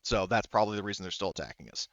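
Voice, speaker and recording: A man improvising narration as a tabletop game master, rambling and haltingly correcting himself as he goes. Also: on the low side, expressive, a bit bright, no echo, muffled recording. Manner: rambling, halting, self-correcting, full of "you know".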